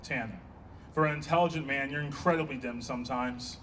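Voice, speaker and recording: A man's voice: medium pitch, fairly quick, a bit bright, close to an everyday voice. A man in a staged play reading, playing a dry, exasperated therapist dealing with an old friend. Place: outdoor stage. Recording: distant outdoor stage pickup, background noise.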